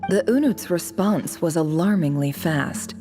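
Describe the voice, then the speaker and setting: A woman voicing a cunning, vengeful young woman posing as an ambassador in a video game, speaking in a formal, measured, manipulative way. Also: fairly low pitch, natural voice. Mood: cool, formal. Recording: music under the voice.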